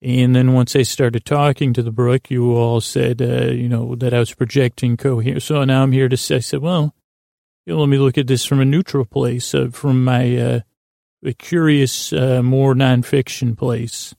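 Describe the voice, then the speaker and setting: A man with a soft-edged, mellow voice full of creak delivers a meandering bedtime monologue. His pitch stays very even as he halts, drifts off on tangents and loops back, all in a soothing, sleepy-time podcast host style. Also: no room sound, clean studio sound. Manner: halting, tangent-prone, circling back on himself. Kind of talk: rambling, meandering bedtime monologue meant to lull listeners to sleep.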